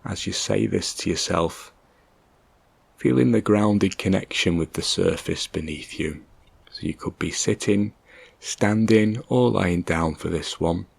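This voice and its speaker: A man with a deep, gravelly voice leads a guided meditation. He speaks gently and calmly, leaving long, measured pauses between phrases. The sound is close and dry. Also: no echo. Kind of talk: guided meditation, soft slow instruction.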